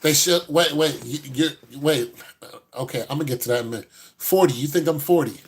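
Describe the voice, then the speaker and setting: A man's voice: fairly high pitch, brisk. 50s guy hosting, giving callers blunt no-nonsense advice, just chatting. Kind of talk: casual advice chat.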